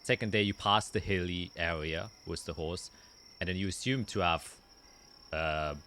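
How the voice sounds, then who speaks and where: Very deep-voiced man running a tabletop game. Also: moderate pace, bright, forceful tone, a little hiss or hum. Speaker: game master. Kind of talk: narrating a tabletop roleplaying game.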